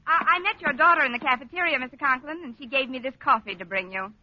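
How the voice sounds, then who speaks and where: A woman acting a sardonic, quick-witted schoolteacher in a 1940s radio comedy, with dry wisecracking delivery. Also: high-pitched, close to an everyday voice. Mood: nervous, deferential. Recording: dull vintage 1940s radio recording.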